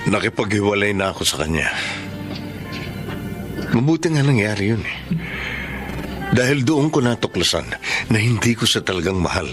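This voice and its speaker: Low-voiced, theatrical Filipino widowed father. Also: sing-song, normal speed, pauses often. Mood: tender, earnest. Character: widowed father Richard, passionate, earnest romantic lead. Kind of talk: Filipino radio drama acting with first-person narration. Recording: music under the voice.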